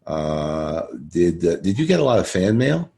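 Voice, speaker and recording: A man hosting a casual podcast interview, talking haltingly and repeating phrases as he searches for words. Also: low voice, sing-song, vocal fry, mellow.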